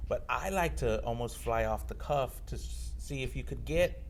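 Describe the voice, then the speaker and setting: A man who covers sports, chatting loosely on a podcast, full of fillers and restarts, with a loud voice that carries. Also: medium pitch, moderate pace, slight background noise. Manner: rambling, lots of fillers and restarts. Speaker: sports reporter. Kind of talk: casual podcast chat. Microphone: held far from mouth.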